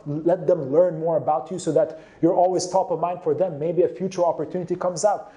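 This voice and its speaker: Male presenter, slightly high voice, soft mellow tone, instructional delivery, emphatic key phrases. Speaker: career advice presenter. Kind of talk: presenting instructional tips to camera.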